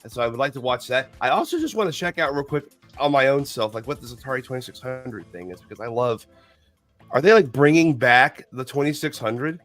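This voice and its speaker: A man with a head cold, losing his voice, chatting excitedly on a livestream, cursing and tripping over his words. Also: medium pitch, a little fast, faint music behind. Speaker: podcast co-host. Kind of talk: casual livestream chat.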